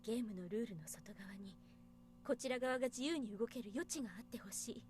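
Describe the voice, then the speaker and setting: A woman's voice: a little high, very fast, darkish tone, slight background noise, natural voice. A woman voicing a composed, reasoning adult woman in a visual novel. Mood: composed, hopeful. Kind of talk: Japanese visual novel voice acting.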